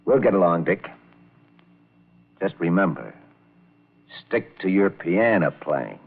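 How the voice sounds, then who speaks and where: An actor playing a gruff, jealous, bossy nightclub owner in an old-time radio crime drama, with a gravelly, husky voice. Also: male voice, sits low, big pitch swings, takes it slowly, full character voice. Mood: curt, businesslike. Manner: blunt, bossy, menacing. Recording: tinny, narrow-band vintage radio recording.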